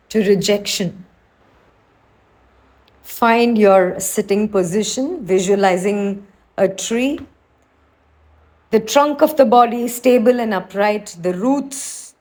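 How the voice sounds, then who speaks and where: Slow, high-voiced woman guiding meditation. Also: rough recording. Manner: soothing, unhurried, gently instructing. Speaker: meditation teacher. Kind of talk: guided meditation narration.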